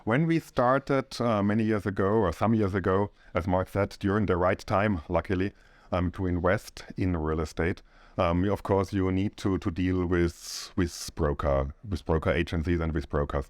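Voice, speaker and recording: Deep-voiced middle-aged man from Austria. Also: moderate pace, dry recording. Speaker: co-owner of a real estate firm. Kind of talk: casual podcast chat.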